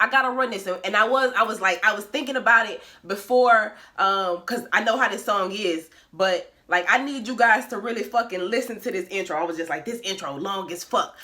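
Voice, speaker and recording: A woman's voice: fairly high pitch, pushed and bright. A woman hosting a casual vlog, talking in a loose, profane, playful way. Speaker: vlog host.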